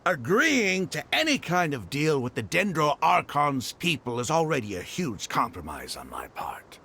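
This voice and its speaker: An actor playing a scheming, zealous gang leader in a video game, sneering and theatrical. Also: male voice, on the high side, wildly swooping pitch, normal speed, creaky, pushed and bright, a little hiss or hum, exaggerated voice. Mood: smug, demanding. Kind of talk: video game dramatic acting.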